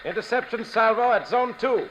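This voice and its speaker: A man's voice: very high voice, expressive, moderate pace, fairly bright tone. A man acting in a 1950s radio drama, playing a curt, commanding military officer giving orders. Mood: businesslike. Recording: muffled, noisy old radio recording.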